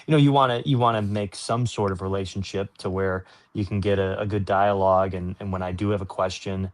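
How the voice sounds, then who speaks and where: A man's voice: low voice, fairly even intonation, fairly quick. A man working as a sports announcer, answering interview questions in an earnest, informal, explanatory way.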